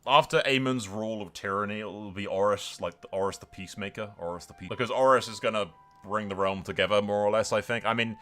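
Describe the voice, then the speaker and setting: A man's voice: fairly low pitch, fast talker, fairly bright tone. A man hosting a gaming video, giving casual, improvised commentary that drifts into dramatic storytelling, sweary and speaking straight to viewers.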